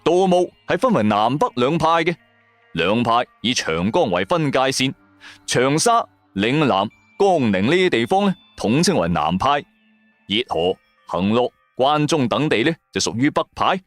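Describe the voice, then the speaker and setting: A man's voice: mid-range voice, very animated, measured pace, dry recording, soft background music. A man with a Cantonese accent, narrating a first-person story in a dramatic audio drama style.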